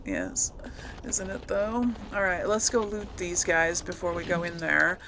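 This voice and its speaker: A woman's voice: on the low side, lively intonation, measured pace, pushed and bright, rough recording. A woman hosting a gameplay video, thinking aloud in casual commentary as she plays. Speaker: gaming video host. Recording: clear noise behind voice.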